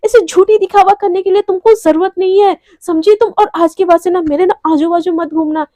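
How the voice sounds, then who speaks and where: A furious young office woman, played by a female storyteller, high in pitch and scolding insistently. She is proud and wounded, speaking in a soft-toned, closely dry recording for an audio drama story. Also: fairly even intonation, normal speed, no echo, close to an everyday voice. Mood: hurt, angry.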